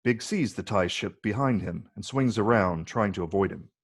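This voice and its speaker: A man with a gravelly, husky voice reading screenplay stage directions as scripted narration. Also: fairly low pitch, moderate pace.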